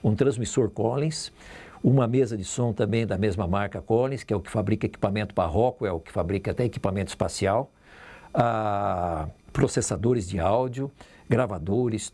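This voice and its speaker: A man's voice: on the low side, mellow. A man with a Brazilian accent recalling things informally in an interview. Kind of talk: interview recollection.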